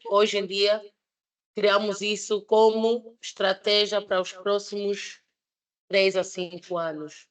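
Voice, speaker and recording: A woman in her thirties, not a native Portuguese speaker, presenting a project at a panel debate with many fillers and restarts. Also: mid-range voice. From Switzerland. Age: 30s.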